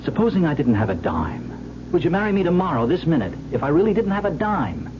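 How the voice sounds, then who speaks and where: A man's voice: on the high side, big pitch swings, brisk, background noise. An actor playing an earnest, desperate young man in a 1970s radio drama, speaking with theatrical intensity. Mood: urgent wooing. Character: earnest, desperate young gambler heir, Barry. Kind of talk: 1970s radio drama acting, first-person narration. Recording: dull vintage radio recording, music behind.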